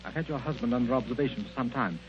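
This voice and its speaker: A man's voice: mid-range voice, expressive, rapid-fire, lightly acted. Man playing a grave, soothing doctor. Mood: grave, reassuring. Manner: earnest, confiding. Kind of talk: mid-century radio drama acting. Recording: dull, noisy old radio recording.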